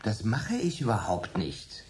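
An actor playing a middle-aged prankster dinner guest in a radio play, teasing and lowering to a menacing hush. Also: male voice, wildly swooping pitch, measured pace, a little hiss or hum. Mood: musing.

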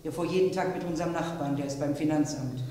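Echo-laden, stern middle-aged housewife played by an actress. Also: female voice, low voice, quick pace, dark tone, distant mic, a little hiss or hum, lightly acted. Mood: insistent. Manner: curt, insistent, reproving. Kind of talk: 1950s-80s German radio crime drama acting. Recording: very echoey, reverberant old radio play.

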